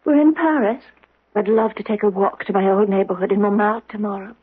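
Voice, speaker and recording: A woman acting as a meek, confused young singer under someone's spell, plaintive and childlike, with a slightly husky voice. It is 1970s radio drama acting, heard through a narrow, muffled old broadcast. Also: mid-range voice, steady tone, brisk, close to an everyday voice. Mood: weary, wistful. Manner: plaintive, repetitive, childlike pleading. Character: submissive, bewildered young female singer under hypnotic control.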